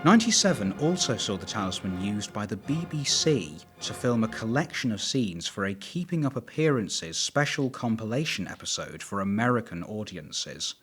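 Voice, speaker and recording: A man's voice: lowish voice, sing-song, normal speed, few pauses, a bit bright. A man reading scripted documentary narration. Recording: prominent music bed behind voice.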